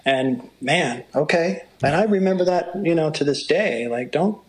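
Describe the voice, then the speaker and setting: A middle-aged man with a low voice, talking through stories in an interview and sometimes quoting others in a livelier tone. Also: lively intonation, slight background noise. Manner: unhurried, storytelling, quotes others in a livelier voice. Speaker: motocross broadcaster. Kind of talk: reflective interview talk.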